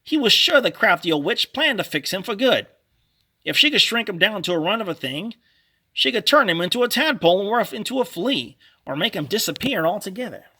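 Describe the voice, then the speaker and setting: A man narrating a children's storybook read-along in a dramatic, expressive, animated way. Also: high-pitched, a little fast.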